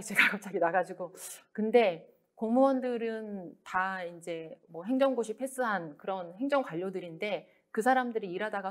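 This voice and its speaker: A woman author giving a book talk, speaking in a soft, measured way with many pauses and fillers. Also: medium pitch.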